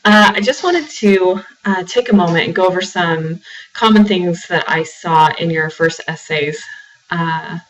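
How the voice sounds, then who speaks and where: A woman's voice: lowish voice, a little slow, distant mic, low-quality recording. A woman writing instructor with a sore, slightly froggy throat, giving a recorded class lecture full of "um"s and repeated words. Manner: filler-heavy, repeats words when restarting.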